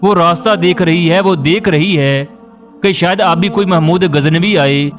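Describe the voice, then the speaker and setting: A man's voice: a little high, a little slow. A man reading a scripted documentary narration in a solemn, emotive, declamatory way. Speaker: narrator of a biography video. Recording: music behind the voice. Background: background music.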